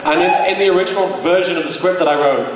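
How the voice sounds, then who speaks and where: A man's voice: sits high, racing. A man chatting casually and jokily as he takes questions at a live panel. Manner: jokey, breaks into laughter often. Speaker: panel guest answering audience questions; creator of comedy anime parody series. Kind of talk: casual convention Q&A chat. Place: convention panel room with live audience. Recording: echoey noisy hall with loud crowd.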